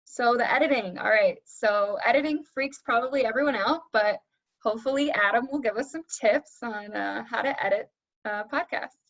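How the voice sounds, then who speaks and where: A woman's voice: a little high, moderate pace, a bit bright, lo-fi sound. A woman moderating an informal panel discussion and giving practical tips.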